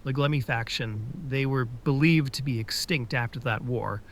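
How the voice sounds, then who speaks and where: Man plays earnest officer, measured, pleading, mid-pitched. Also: a little hiss or hum, lightly acted. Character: earnest male military officer, persuasive. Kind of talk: fan audio drama acting.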